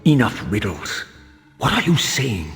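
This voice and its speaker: A proud vampire wraith speaks in a low voice, measured and bitter. The delivery is formal, eloquent and theatrical, like a gothic video game, with long reverb. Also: male voice, huge pitch swings, fairly bright tone, heavily acted. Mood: angry, demanding. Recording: long reverb, music behind.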